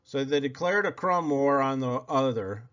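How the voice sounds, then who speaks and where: A man reading a children's story aloud in a theatrical, expressive way, stretching out words for effect. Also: mid-range voice, moderate pace. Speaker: storybook reader. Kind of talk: animated children's read-aloud. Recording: slightly dull, lo-fi audio.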